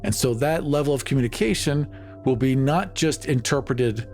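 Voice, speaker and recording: A man's voice: mid-range voice, normal speed, a little mellow, soft background music. A man playing a tabletop role-playing game, casually improvising narration of what his character does.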